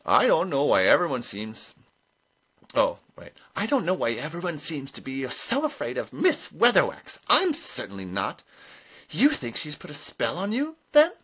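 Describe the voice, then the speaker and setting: Narrator putting on a blunt, forceful old witch. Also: mid-range voice, huge pitch swings, normal speed, slightly rough, voice pushed up, full character voice, poor audio. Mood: wry, insistent. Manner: plain-spoken, colloquial, bluntly contradicting. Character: blunt, folksy old witch, female, unimpressed.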